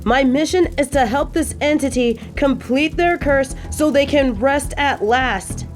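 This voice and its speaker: An earnest female agent, acted by a woman in an audio drama, set over clear background music. She is protective and quick to plead or swear, yet her delivery keeps a slow pace overall. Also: high voice, voice pushed up. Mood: urgent pleading. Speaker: field agent. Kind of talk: dramatic audio roleplay acting.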